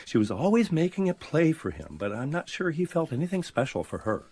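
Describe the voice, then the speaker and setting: An actor playing a laid-up, regretful stagehand in a radio mystery, speaking in a casual, downbeat and slightly hesitant way. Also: male voice, fairly high pitch, very animated, brisk, darkish tone, slightly rough, full character voice. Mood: matter-of-fact. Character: laid-up, regretful male stagehand and hobby sculptor. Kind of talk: radio mystery drama acting.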